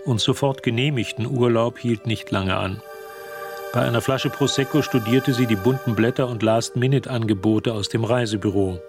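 An actor narrating a radio drama as a detached, measured literary storyteller. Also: male voice, medium pitch, lightly acted.

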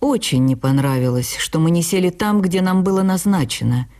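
Mellow low-pitched woman narrating a memoir. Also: normal speed. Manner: expressive storytelling with acted dialogue. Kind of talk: dramatized literary narration.

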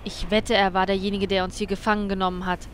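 A woman's voice: medium pitch, flat delivery, fast talker, a little hiss or hum, close to an everyday voice. Woman as an anxious fantasy adventurer. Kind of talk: German audio drama parody acting.

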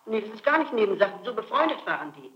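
A woman's voice: mid-range voice, quick pace, reverberant. Middle aged woman getting interrogated, keeps insisting and denying, muffled old tape sound. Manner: insistent, flatly contradicting. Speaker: suspect under interrogation. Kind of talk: answering questions in an interrogation. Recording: dull archival tape recording.

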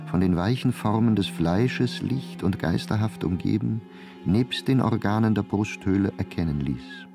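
A man narrates a literary radio play in a fairly low voice. His delivery is scripted prose narration, with music underneath.

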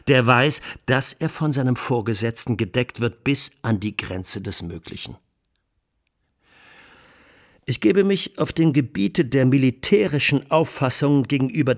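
A man playing a defence lawyer in a 1920s trial, delivering a rhetorical, deliberate and emphatic courtroom plea for a radio documentary. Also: mid-range voice, lightly acted. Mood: pointed, assured. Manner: rhetorical, deliberate, emphatic, with dropped phrase endings. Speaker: defence counsel.

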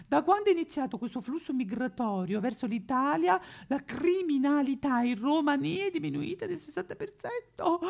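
A batty, snobbish rich housewife for a satirical monologue. The voice is very high and creaky, with affected swoons and whimpers as she rambles and loses her thread. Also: heavily acted. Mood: fearful, indignant.

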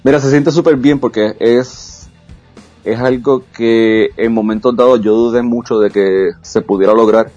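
A man's voice: mid-range voice, fairly even intonation, a little slow, darkish tone, lo-fi sound, faint music behind. A man, an esports caster speaking frankly and confidently as a guest in a casual interview. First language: Spanish. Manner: frank, self-assured, with frequent restarts.

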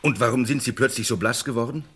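A man playing an insistent, agitated, well-mannered gentleman in a radio crime play, talkative and fussy. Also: medium pitch, quick pace, breathy edge. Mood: agitated, accusing. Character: agitated, accusing well-mannered male visitor to London.

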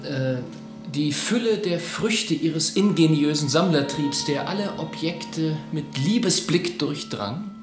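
An actor in a German radio play voicing an obsequious, wordy English gentleman with formal, flowery speech. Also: fairly high pitch, animated, slow pace, music in the background. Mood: flattering, deferential.

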